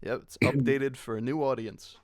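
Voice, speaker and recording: A man's voice: mid-range voice, steady tone, normal speed, no echo. A man talking casually on an online panel as a voice actor who directs dubs, explaining things with joking asides. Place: online panel over voice call.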